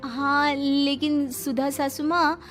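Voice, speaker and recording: Lady putting on young daughter in law cartoon voice, really high, flustered, trying to please, over music. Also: little pitch movement, slow pace. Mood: obliging. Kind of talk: cartoon story character voice.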